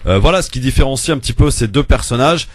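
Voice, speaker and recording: A man's voice: mid-range voice, lively intonation, fast, dry recording. A male radio host moderating a debate, firm about turn-taking and hesitant with many filler sounds as he explains.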